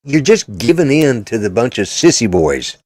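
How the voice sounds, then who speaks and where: A man's voice: medium pitch, sing-song, moderate pace, darkish tone, no echo, clean studio sound. An older man hosting a casual political chat show, talking in a blunt, rambling, opinionated way. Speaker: podcast host.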